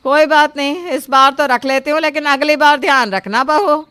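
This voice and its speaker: A woman's voice: sits high, moderate pace, sharp tone. A woman playing a greedy, haughty middle-aged mother-in-law in a story drama, condescending and bossy in manner. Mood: grudging, condescending. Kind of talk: dramatised Hindi moral story acting.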